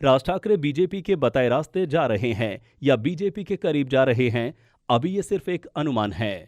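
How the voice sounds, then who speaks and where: A man's voice: medium pitch, expressive, normal speed, darkish tone, no echo. A man narrating a scripted political explainer as a news podcast presenter.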